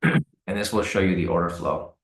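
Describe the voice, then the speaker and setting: A man hosting a live trading stream, hoarse and raspy from the cold, talking casually with many fillers and repeated words. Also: low voice, moderate pace, roomy sound. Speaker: trading livestream host. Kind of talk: casual live-stream commentary answering chat.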